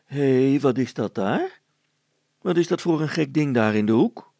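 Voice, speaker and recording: A man's voice: mid-range voice, animated, unhurried, mellow, no room sound. A man voicing a suspicious middle-aged wife and mother in a story, scornful and interrogating.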